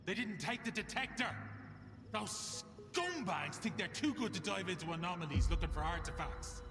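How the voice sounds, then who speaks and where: A man's voice: high voice, animated, moderate pace. A man playing a bitter, sardonic, foul-mouthed scavenger in a video game. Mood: bitter, irritated. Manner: sardonic, coarse. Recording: echoey reverberant space under music.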